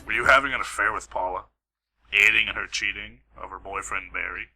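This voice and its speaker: A man's voice: lowish voice, a little fast, creaky, sharp tone, soft background music, exaggerated voice, rough recording. A man playing a blunt, hard-nosed police interrogator in an amateur radio play. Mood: demanding.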